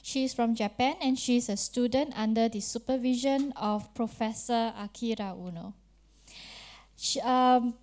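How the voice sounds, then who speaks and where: A woman moderates a conference session and introduces the next speaker in a polite, formal way. Her voice sits a little high and has a mellow, warm tone. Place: conference hall.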